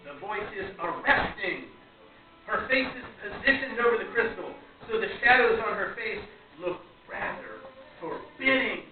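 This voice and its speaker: A man's voice: high voice, unhurried. A man narrating a scripted radio play as its moderator. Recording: tinny, noisy, very echoey distant recording.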